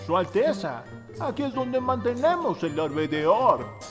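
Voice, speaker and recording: A meek, formal royal servant voiced by a man, sounding a little distant from the mic. His pitch moves a lot, and background music plays beneath him. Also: big pitch swings, unhurried. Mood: deferential. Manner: formal, deferential.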